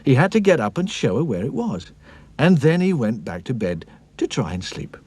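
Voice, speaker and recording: A man narrating a children's cartoon story in an expressive storybook style. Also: medium pitch, moderate pace, breathy edge, no room sound.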